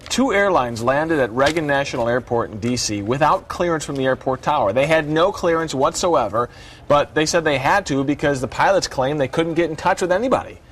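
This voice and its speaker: A man's voice: a little high, lively intonation, a little fast, fairly bright tone. A man with an American accent working as a TV news anchor, reading news and chatting casually with co-hosts. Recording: clearly noisy background ambience.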